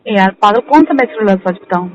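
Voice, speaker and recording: A woman's voice: medium pitch, animated, fast. A woman in a casual recorded phone conversation, persuading and repeating herself. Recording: noisy recorded phone call.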